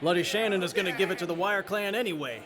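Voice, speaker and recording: A man's voice: high voice, fast talker, crowd noise, close to an everyday voice. A man playing an edgy, irritable raider tech in an audio drama, talking coarsely and with exasperation. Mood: irritated. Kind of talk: post-apocalyptic audio drama acting.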